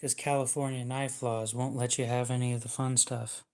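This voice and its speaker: Guy hosting a livestream, mid pitched, jokey and sarcastic, swears a bit. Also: flat delivery, normal speed, darkish tone. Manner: joking, sarcastic, swears casually. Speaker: livestream host. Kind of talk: casual livestream chat.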